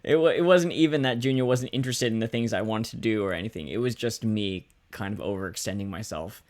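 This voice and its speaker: A man co-hosting a casual conversational podcast, speaking haltingly and often correcting himself as he goes. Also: on the low side, darkish tone. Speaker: podcast co-host and parent.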